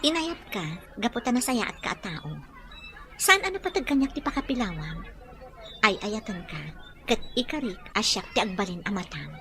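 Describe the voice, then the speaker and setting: Lady as loving young girlfriend, bright lively voice, sincere, radio-style drama. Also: medium pitch, normal speed, slight background noise. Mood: tender, devoted. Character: devoted, sincere, affectionate young sweetheart. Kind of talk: Ilocano audio drama acting.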